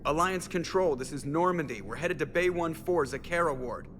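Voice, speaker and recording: Man as a higher-voiced starship pilot. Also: normal speed, a bit bright, in a large hall, a little hiss or hum, lightly acted. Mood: businesslike. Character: alert, easygoing male starship pilot. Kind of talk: video game voice acting.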